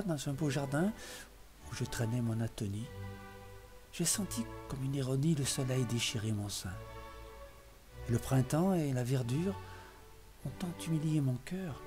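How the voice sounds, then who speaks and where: A man gives a dramatic, literary poetry reading, declaiming phrase by phrase with expressive emphasis. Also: medium pitch. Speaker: poetry reader. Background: music behind the voice.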